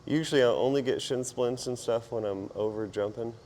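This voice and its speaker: A man with a hoarse, partly lost voice talks casually and self-deprecatingly as a fitness vlogger while he exercises. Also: medium pitch, brisk, a little mellow, a little hiss or hum. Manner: informal, self-deprecating, rambling while exerting himself. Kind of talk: casual workout vlog chat while exercising.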